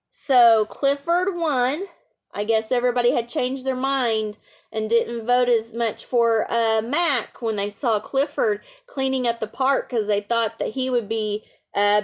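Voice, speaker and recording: A woman reading a picture book aloud to young children, adding chatty asides, simple explanations and questions for the listeners. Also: fairly high pitch. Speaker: storytime reader and teacher.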